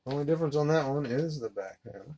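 A man's voice: a little high, fast, dull audio. Man casually unboxing, noisy room. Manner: relaxed, rambling, talks while handling items. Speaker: collector unboxing a disc set. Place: collectibles room indoors. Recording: noisy home recording.

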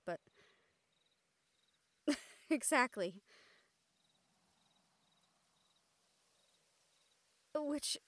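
A woman plays a young female mage in an intimate audio roleplay. Her voice sits a little high. She comes across as excitable and caring, speaking in an animated, halting way and swearing freely. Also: no echo, lightly acted. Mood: delighted, proud.

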